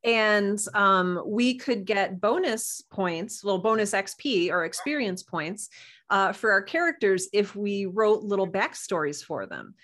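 A woman guest on a casual interview, telling personal stories in a playful, animated way. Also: medium pitch, a little slow. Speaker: guest author and podcast producer.

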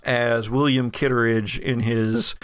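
A man's voice: medium pitch, flat delivery, slow, fairly bright tone, clean tone, no echo, studio quality. A man narrating a horror role-playing audio drama as game master, with descriptive, suspenseful storytelling.

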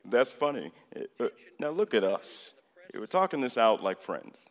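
A man's voice: mid-range voice, sing-song, measured pace. An actor playing a cocky, wry progressive president in a radio play, casual and teasing in his delivery. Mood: wry, friendly. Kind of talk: conversational radio play dialogue. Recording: long reverberant hall sound.

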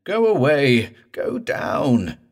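A man's voice: medium pitch, sing-song, drawn-out words, voice pushed up. A man voicing a terrified, frantic man in a horror story, pleading and cursing in a raw, thick voice. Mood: screaming. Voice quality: raw, thick, breaking upward.

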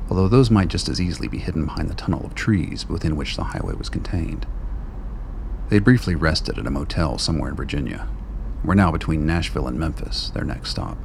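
A man reading scripted narration for a radio play, with steady noise under his voice. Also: a bass voice, gravelly, full character voice.

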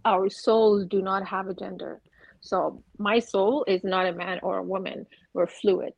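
A woman's voice: medium pitch, fairly even intonation, measured pace, gravelly, dry recording, dull audio. A woman from Afghanistan talking in an earnest, opinionated way on a casual podcast, mixing in spiritual ideas. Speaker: podcast co-host.

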